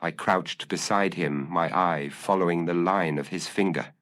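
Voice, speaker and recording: A man's voice: low-pitched, normal speed, fairly bright tone. A man reading scripted narration of a gothic mystery story.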